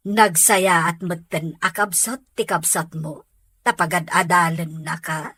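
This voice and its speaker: A woman's voice: on the low side, steady tone, a little slow, sharp tone, slightly rough, full character voice. A woman acting as a kindly madam who employs household help, in a scripted Ilocano radio drama.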